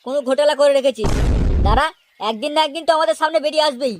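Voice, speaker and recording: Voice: sits high, rapid-fire, background noise, close to an everyday voice. A performer voicing a brash, foul-mouthed, hot-headed young man in a comedy cartoon, complaining and confrontational. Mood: accusing. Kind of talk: comedy cartoon dialogue in colloquial Bengali. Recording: music behind the voice.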